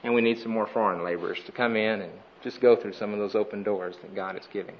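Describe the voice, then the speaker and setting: A man with a somewhat low voice gives an earnest mission report to a conference audience. He talks steadily, pausing often on "uh". The recording sounds dull and lo-fi. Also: slight background noise. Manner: earnest, steady, frequent "uh" pauses. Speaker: missionary speaker.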